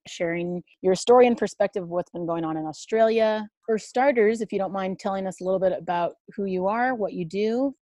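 A woman host with a notably low voice introduces her guest and prompts her politely. The recording is dry and close, with no room sound. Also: a little mellow, dry recording. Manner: polite, encouraging, brief backchannels. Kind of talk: interview hosting.

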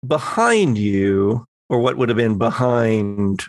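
A man working as game master, narrating a tabletop horror game in halting, suspenseful descriptions. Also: on the low side, expressive, no room sound.

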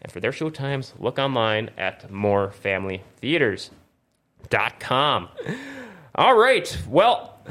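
A man with a medium-pitched voice hosts a casual gaming podcast. He rambles along informally, tripping on words and fixing them as he goes. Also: big pitch swings, slow, a bit bright. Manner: rambling, stumbles over words and corrects himself. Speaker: podcast host. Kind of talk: casual video game talk show with plugs and sponsor reads.

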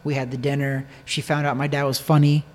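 A man's voice: mid-range voice, level tone, fairly quick. An American man telling a personal story in casual conversation, repeating phrases for emphasis. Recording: long echoing reverb.

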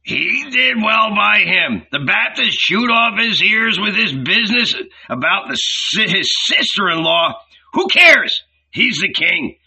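A man voicing a fat, hungover male innkeeper who growls hoarsely, shouting and mocking. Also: sits high, voice pushed up, heavily acted, poor audio.

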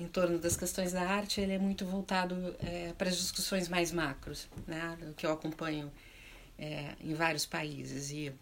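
Halting, lower-pitched southern Brazilian woman. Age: middle-aged. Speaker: panel speaker on arts and culture. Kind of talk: unscripted panel discussion.

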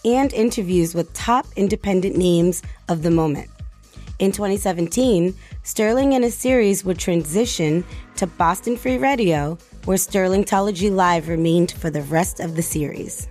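A woman reading scripted narration for a radio retrospective in a formal, measured manner. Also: fairly low pitch, a little mellow, soft background music. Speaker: documentary narrator.

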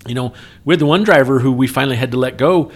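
A man's voice: medium pitch, fast. A man co-hosting a trucking company podcast, chatting casually in an earnest, explanatory way and often checking for agreement. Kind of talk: casual workplace talk with anecdotes.

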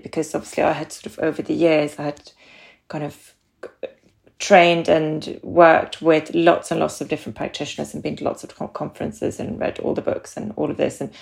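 Swedish lady, middle-aged, low gravelly voice, lots of ums and restarts, chatty podcast guest. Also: darkish tone. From Sweden. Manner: hesitant, many ums and restarts. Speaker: guest, nutritional therapist. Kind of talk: casual podcast interview.

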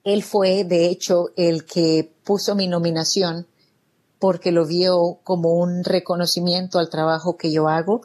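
A woman's voice: fairly low pitch, little pitch movement, a little slow, darkish tone. A woman from El Salvador, a business owner answering interview questions in an earnest, grateful way. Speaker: guest, real estate business owner.

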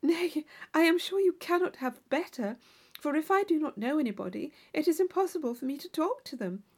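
A woman voicing a naive, eager young country gentlewoman in a classic novel audiobook, earnest and enthusiastic. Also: sits high, animated, a little fast, voice pushed up.

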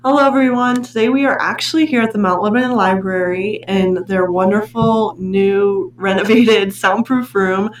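A woman's voice: medium pitch, fairly even intonation, moderate pace, hardly any pauses. A young woman in her late teens from Pennsylvania, hosting a casual podcast interview in a chatty way and laughing between questions, recorded in a library meeting room. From Pennsylvania, USA. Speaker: student podcast host. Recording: roomy, distant mic, faint hum.

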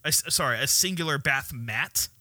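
A man's voice: medium pitch, normal speed, pushed and bright, no room sound. A young man, a student pastor, co-hosting a casual podcast chat, joking and laughing often.

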